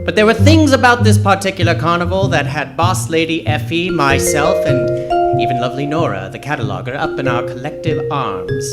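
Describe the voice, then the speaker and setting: An actor playing a world-weary, wisecracking library detective in a deadpan noir radio drama style. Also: male voice, on the high side, normal speed, close to an everyday voice. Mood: wry, weary. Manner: wry, deadpan. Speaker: narrator and lead character. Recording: music playing behind the voice.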